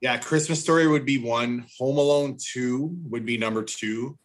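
A man's voice: medium pitch, fairly even intonation, moderate pace, a little hiss or hum. Middle-aged man chatting on a podcast. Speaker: podcast panelist. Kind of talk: casual panel chat.

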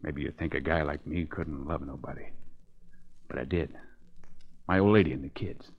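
Weary, deep-voiced tough convict. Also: male voice, normal speed. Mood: earnest, weary. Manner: rough streetwise slang. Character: dying convict, weary tough crook pleading for his family. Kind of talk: 1940s radio acting. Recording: muffled old 1940s radio recording.

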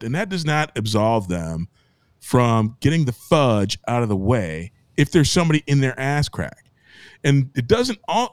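A man co-hosting a podcast, airing grievances in a profane, exasperated rant that builds into shouting. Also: medium pitch, no room sound, studio quality.

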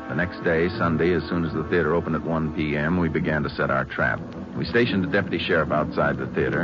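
An actor playing a calm, firm Texas Ranger who also narrates a 1950s radio western in a measured, matter-of-fact way. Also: male voice, rumbling low. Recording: dull vintage radio recording.

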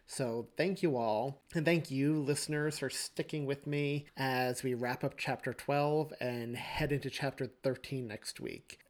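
A man hosting a podcast, speaking to listeners in an effusive, appreciative way. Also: medium pitch. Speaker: podcast host and author. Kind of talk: scripted podcast outro addressed to listeners.

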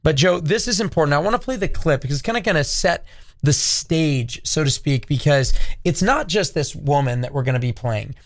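A man co-hosting a talk, earnest and deliberate, who slows down whenever he wants a point to land. His voice sits a little high for a man. The recording is dry and close, with no room sound. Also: animated, no room sound. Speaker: co-host. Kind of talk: casual discussion.

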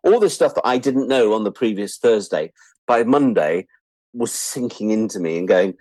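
A man's voice: on the low side, lively intonation, normal speed, darkish tone, no room sound, poor audio. A British man in his sixties telling anecdotes as an interview guest in a chatty, self-deprecating way, acting out bits of dialogue. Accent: British English. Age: 60s. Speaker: interview guest, writer-producer. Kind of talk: animated anecdotal storytelling in an interview.

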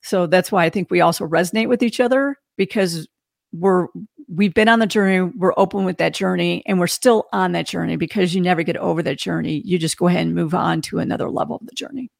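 Woman podcast host, bit of fry, talks in restarts, dry close sound. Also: on the low side, quick pace, dry recording. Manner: repetitive restarts, earnest reflective talk. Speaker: podcast host, interview guest. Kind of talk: casual conversational interview.

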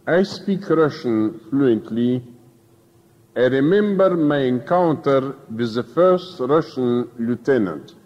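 An older man speaks slowly and deliberately, his Romanian accent heavy. The archive recording is dull and lo-fi, with a long reverb trailing each phrase. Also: medium pitch, expressive. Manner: slow, deliberate and solemn. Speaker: pastor. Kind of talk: archival sermon.

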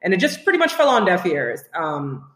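An animated, outspoken woman talks frankly as a podcast guest. She grew up between Trinidad and Tobago and the US, and her voice rings in a roomy, echoey space. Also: lowish voice, moderate pace. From Trinidad and Tobago, raised in the US. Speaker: guest, writer. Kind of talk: casual podcast conversation. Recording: roomy echo.

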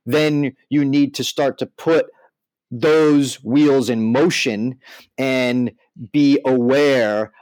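A man's voice: medium pitch, darkish tone, no room sound, rough recording. A man with a mid-range voice co-hosts a podcast in a dry, close-sounding recording. He talks casually but explains like a teacher, often checking that his point has landed. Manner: explanatory, checks in with "right?" and "you know". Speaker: podcast co-host. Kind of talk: casual discussion.

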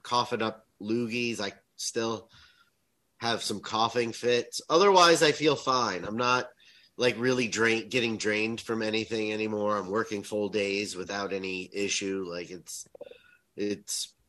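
A man on a casual podcast, congested and raspier than usual from an illness. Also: lowish voice, steady tone, a little slow, sharp tone. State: congested, raspy from covid. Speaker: podcast co-host.

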